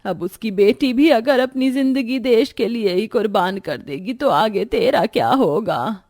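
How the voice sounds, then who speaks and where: Woman voicing a doting, slow-speaking old grandmother. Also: on the high side, expressive, a little mellow, no echo, clean studio sound. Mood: pleading. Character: doting elderly grandmother and mother-in-law. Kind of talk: scripted cartoon moral-story dialogue.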